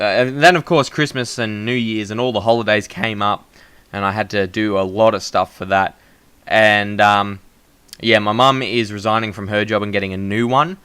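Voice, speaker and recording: A young man hosts a relaxed podcast chat. His voice is bright and carries loudly, and he often loops back on fillers and restarts his sentences. He has the easy tone of someone chatting with a friend. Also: on the low side, clean tone. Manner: loud, projecting voice; frequent fillers and restarts, laughs at himself. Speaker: podcast host. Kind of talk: casual chat.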